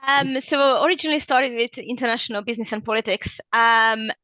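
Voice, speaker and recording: A woman's voice: a little high, fairly quick, bright, forceful tone, dry recording, poor audio. A woman in her twenties from Hungary, speaking, answers interview questions casually and hesitantly, with many ums and self-corrections. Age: 20s. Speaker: alumna interviewee.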